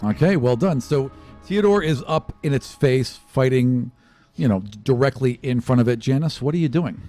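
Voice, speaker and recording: A man's voice: medium pitch, moderate pace, dark tone, dry recording. A man casually narrating a tabletop role-playing game as the game master. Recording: faint music under the voice. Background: music.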